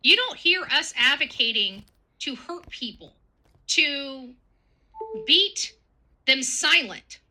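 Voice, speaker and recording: A woman's voice: high-pitched, expressive, takes it slowly, long pauses, sharp tone, a little hiss or hum, poor audio. A woman giving casual online commentary in an emotional, dramatic manner.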